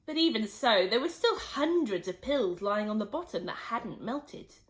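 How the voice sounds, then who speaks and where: Expressive teacher reading to kids; a woman with a bright, bouncy, fairly high delivery. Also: moderate pace. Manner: expressive, animated read-aloud. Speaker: storyteller teacher. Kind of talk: children's story reading.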